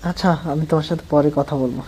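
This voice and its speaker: A man's voice: a little high, fairly even intonation, racing, warm tone, close to an everyday voice. A man playing a persistent, wheedling young student in a colloquial phone-call drama. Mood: mildly impatient. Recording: faint background noise.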